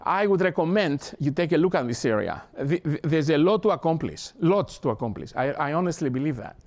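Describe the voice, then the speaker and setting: An older Greek-accented man lecturing to an audience with a somewhat raised pitch. He is earnest and halting, often restarting and repeating words as he works toward his point. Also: animated, a little mellow. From Greece. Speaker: professor. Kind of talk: academic talk. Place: lecture hall.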